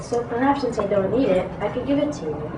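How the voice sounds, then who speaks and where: A woman's voice: a little high, lively intonation, fast talker. A female performer reading a part in an amateur readers theatre play. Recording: noisy echoey room, distant mic.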